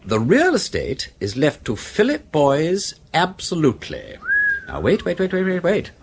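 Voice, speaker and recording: An actor playing an aristocratic English gentleman detective in a British radio drama, breezy and witty with an upper-class accent and clipped, old-fashioned phrasing. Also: fairly high pitch, wildly swooping pitch, measured pace, breathy edge, full character voice. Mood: excited deduction.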